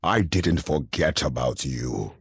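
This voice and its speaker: Deep, slow, imposing warlord villain. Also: male voice, expressive, gravelly, slightly rough, heavily acted. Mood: cool menace. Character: imposing male warlord villain, calm, proud, menacing. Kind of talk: dramatic video game voice acting. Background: music.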